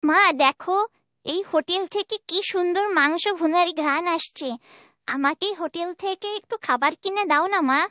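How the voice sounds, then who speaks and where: Tiny pleading cartoon girl, super high squeaky voice, dry close sound. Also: normal speed, bright, forceful tone, no echo. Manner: pleading, wheedling. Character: small hungry village girl.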